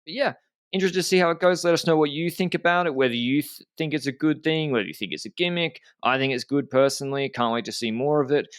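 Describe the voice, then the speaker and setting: A man's voice: medium pitch, fairly bright tone, no echo, studio quality. A man co-hosting a podcast in casual discussion, often thinking aloud and restarting his sentences. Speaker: podcast host.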